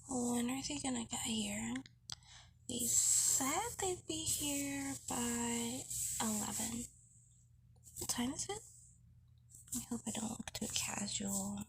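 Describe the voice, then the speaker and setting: A woman playing a nervous, bubbly young woman in an intimate roleplay audio, with flustered, halting, breathy speech. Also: on the high side, rough recording. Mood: anxious, flustered. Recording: loud cooking noise behind the voice. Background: kitchen cooking sounds.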